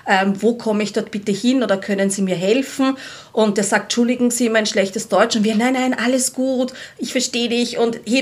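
Female guest. Conversational, self-reflective, slips into quoted inner dialogue while telling personal anecdotes. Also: fairly high pitch. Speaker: podcast guest, language learner. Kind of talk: casual podcast conversation.

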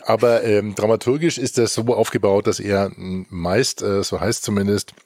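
Guy cohosting a podcast, kinda low voice, analytical, keeps hunting for words, dry room. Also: steady tone, normal speed, darkish tone, no echo. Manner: hesitant, searching for words. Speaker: podcast co-host reviewing podcasts. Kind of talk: analytical conversational review.

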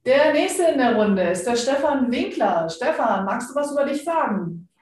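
A woman's voice: high-pitched, animated, moderate pace, darkish tone. Woman host in a very echoey room. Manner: friendly, brief prompts. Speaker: podcast host. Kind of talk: hosting a team introduction round.

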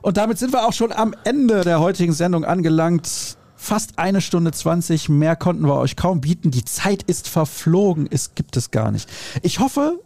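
A man's voice: high-pitched, lively intonation, normal speed, darkish tone, no room sound. A man hosting a podcast in a studio, chatting and teasing his guest in a joking way. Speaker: podcast host. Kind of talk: casual chat.

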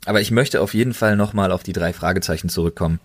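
A man with a fairly low voice and a German accent co-hosts a casual chat podcast. He talks in loose, colloquial language and leans on repeated intensifiers to stress his enthusiasm. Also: fast. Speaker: podcast co-host.

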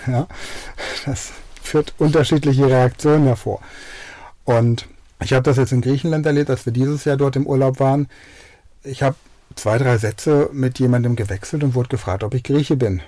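Mellow-voiced German learning coach. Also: male voice, mid-range voice, fairly even intonation, normal speed, dry recording. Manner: enthusiastic, anecdotal. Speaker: podcast host and learning coach. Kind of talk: podcast intro and radio interview.

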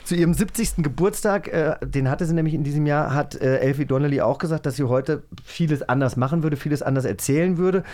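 A man hosting a podcast in casual, animated chat. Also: a little high, fast, few pauses. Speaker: podcast host.

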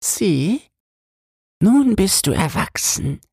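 A man acts out an elderly mermaid queen from a storybook, a strict and proud grandmother. The voice is pitched high and swoops about, with a fussy, commanding manner. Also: sing-song, extremely slow, creaky, a little mellow, husky, no echo, heavily acted. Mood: fussing, proud.

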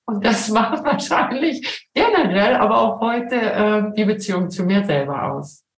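Woman, middle-aged, from Germany, slow, reflective, hesitant, echoey room. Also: mid-range voice, animated. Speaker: professor, podcast guest. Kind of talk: casual interview talk.

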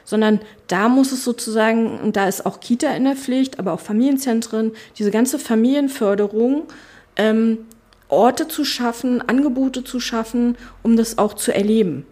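A woman's voice: fairly high pitch, normal speed, dark tone. A middle-aged woman, a politician, talking casually in an interview, thinking aloud with many fillers and restarts. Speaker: politician, spokesperson for family and education. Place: her constituency office.

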